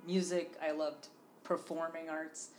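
A woman's voice: sits low, little pitch movement, measured pace, faint music behind. A woman from Illinois, a podcast guest, talking in a rambling, chatty way full of fillers. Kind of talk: casual chat.

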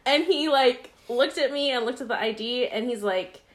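A twenty-something woman in relaxed conversation, laughing at herself as she tells stories. Her voice sits somewhat high. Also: normal speed. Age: 20s. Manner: self-deprecating, giggly storytelling. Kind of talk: casual chat.

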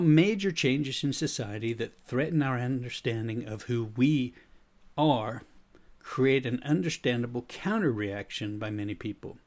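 Middle-aged male presenter, American accent with a slight Swedish tinge, mid-pitched. Measured, instructive solo talk. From United States. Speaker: podcast host, speaker and consultant. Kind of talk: prepared explanatory monologue.

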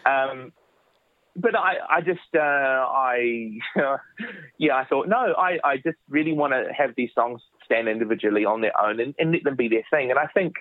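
A man's voice: medium pitch, moderate pace, no echo. A man, a children's musician, chatting casually in an interview with many restarts, from his home office. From New Zealand. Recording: dry phone-like line.

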